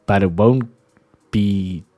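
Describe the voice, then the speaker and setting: A man's voice: lowish voice, slow pace, warm tone, faint music behind. A man streamer with a stuffy, nasal voice from a sinus cold, chatting casually and jokingly about games. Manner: laid-back, joking, calls listener dude and bro. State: sick with sinus trouble.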